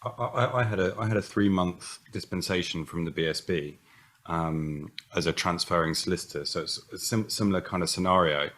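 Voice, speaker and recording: A man's voice: low voice, a little fast, a bit bright. A man, a barrister on a career panel, giving candid advice with hesitant restarts that settle into fluent explanation.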